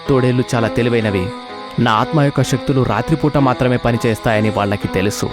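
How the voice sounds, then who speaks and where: A man voicing the vengeful, scheming ghost of a lion king in an animated story, speaking in a solemn, commanding way. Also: medium pitch, fast, music in the background, lightly acted.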